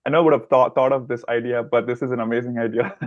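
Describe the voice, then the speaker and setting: Podcast host man, mid pitch, chatty questions. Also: steady tone, fast, no room sound, dull audio. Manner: laughs often, asks long rambling questions. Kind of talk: casual interview questions.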